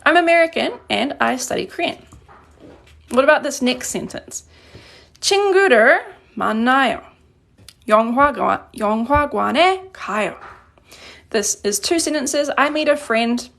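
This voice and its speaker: A woman's slightly high voice with a noticeable gravelly fry runs through this live lesson. She explains sentence by sentence, praising viewers' answers and taking their questions as she goes. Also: animated, a little fast, long pauses, fairly bright tone. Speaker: Korean language teacher. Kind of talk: live online grammar lesson. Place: indoors at a desk. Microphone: phone camera above a desk.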